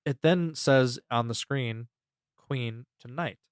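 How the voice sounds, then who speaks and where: A man's voice: medium pitch, takes it slowly, smooth, no echo. Informal male podcast host, dry close sound. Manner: rambling, informal, swears freely. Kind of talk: casual recap storytelling.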